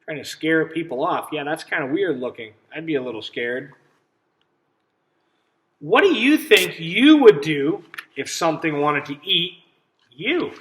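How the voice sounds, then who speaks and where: A man reading a picture book aloud to children as a teacher, pausing to ask simple questions and explain things. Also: a little high, animated, a bit bright, poor audio. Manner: instructive, checks understanding with simple questions.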